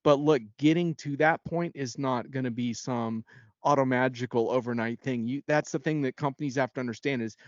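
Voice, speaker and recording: A man's voice: mid-range voice, fairly quick, no room sound. Conversational man, dry muffled audio. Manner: emphatic, conversational, acts out imagined remarks. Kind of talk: casual panel discussion.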